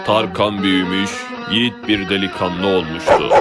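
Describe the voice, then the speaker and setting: A man playing the solemn storyteller of an epic cartoon legend, narrating with measured dramatic phrasing. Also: low-pitched, fairly even intonation, natural voice. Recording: clearly audible music behind narration.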